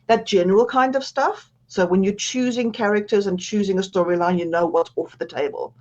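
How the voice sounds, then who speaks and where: A woman's voice: medium pitch, a little fast, a little mellow, dull audio. A woman with a South African accent, speaking as an author guest on a radio interview, chatty and self-deprecating, laughing often.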